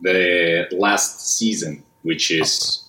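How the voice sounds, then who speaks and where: Deep-voiced Russian-accented man, roomy sound. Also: fairly even intonation, extremely slow, pushed and bright. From West Siberia, Russia. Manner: upbeat, sometimes searching for English words. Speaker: guest actor. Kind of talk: casual interview chat.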